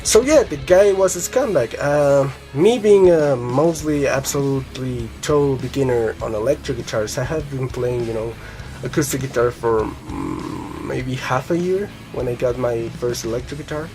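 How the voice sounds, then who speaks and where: A man's voice: mid-range voice, moderate pace. Young man, Colombian Spanish accent, rambling vlog chat, casual swearing, faint music behind. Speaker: guitar vlogger. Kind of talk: casual rambling monologue to camera.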